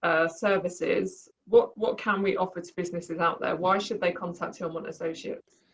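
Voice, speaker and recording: A woman's voice: on the low side, fairly even intonation, normal speed. A woman interviewing in a casual, conversational style.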